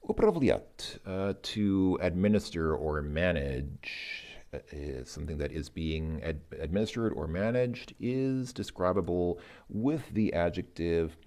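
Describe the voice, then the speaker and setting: Male tutor-style reader, deep and gravelly, halting, dry delivery, informal walkthrough of exercises. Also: expressive, darkish tone. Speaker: language learner presenting lesson exercises. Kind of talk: informal lesson walkthrough, thinking aloud.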